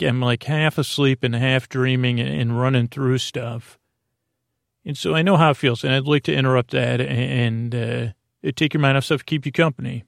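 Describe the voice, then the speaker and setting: A man with a very creaky, gravelly voice rambles through a bedtime podcast monologue. His pitch stays quite flat as he hesitates, doubles back and meanders. The sound is close and dry. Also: no room sound. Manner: hesitant, meandering, halting, trailing off. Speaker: podcast host.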